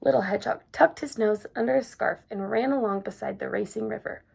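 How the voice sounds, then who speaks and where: A woman reading a children's picture book aloud, gentle and expressive. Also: mid-range voice, fairly quick, vocal fry, breathy edge. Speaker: storyteller. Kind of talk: children's story read-aloud.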